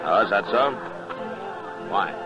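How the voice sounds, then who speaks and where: An actor playing a calm, fatherly frontier marshal with a measured, laconic delivery in a radio western. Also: fairly low pitch, music in the background, a crowd in the background. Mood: calm, probing. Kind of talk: 1950s radio western acting and narration. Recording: muffled old 1950s radio recording.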